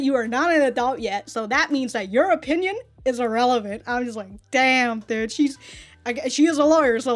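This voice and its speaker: A woman giving a casual, animated episode review as a reaction host, with slangy, sweary talk. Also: sits high.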